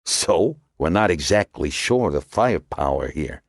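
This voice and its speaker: A man's voice: deep voice, animated, normal speed, no echo, studio quality. Male reader's hot-tempered cop, snappish and scoffing. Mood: skeptical. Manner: snappish, skeptical. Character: gruff hot-tempered male police officer.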